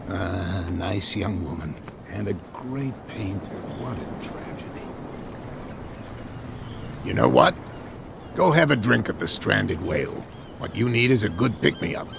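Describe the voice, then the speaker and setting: A man playing a wistful, hospitable sea captain with a gravelly voice in a video game. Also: on the low side, animated, a little slow, full character voice. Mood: sad, wistful. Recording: noisy harbour ambience behind voice.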